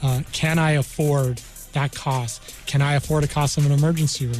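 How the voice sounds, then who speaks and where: A man's voice: fairly high pitch, fairly even intonation, normal speed, a little mellow, soft background music. A man working as an army career counselor, explaining things conversationally as a radio guest and often saying "you know.". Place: radio studio.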